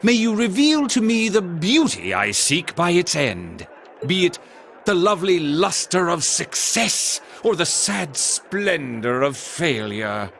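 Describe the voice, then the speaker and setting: Flamboyant, sing-song gentleman. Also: sits high, sing-song, takes it slowly, gravelly, slight background noise, heavily acted. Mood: grandly courteous. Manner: pompous, theatrical, florid. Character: flamboyant, affected gentleman posing as a poetic noble. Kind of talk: English-language game voice acting.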